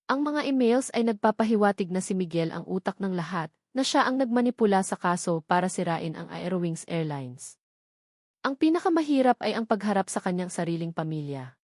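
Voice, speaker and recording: A woman narrates a dramatic love story in a Filipino accent. Her voice sits at a middle pitch. The recording is dry, with no room sound around her. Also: a little mellow, dry recording.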